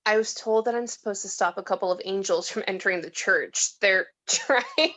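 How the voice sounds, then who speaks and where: A woman's voice: mid-range voice, normal speed, sharp tone. A woman acting a tipsy, disillusioned woman who rambles and giggles in a read-through of a film script. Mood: giggly, incredulous. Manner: rambling, slightly slurred, giggly.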